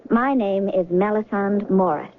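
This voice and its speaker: A woman's voice: mid-range voice, measured pace, lightly acted. An actress playing a composed, curious young lady passenger in a radio drama, coolly questioning. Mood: polite, puzzled. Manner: cool, questioning. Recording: noisy, narrow-band old radio recording.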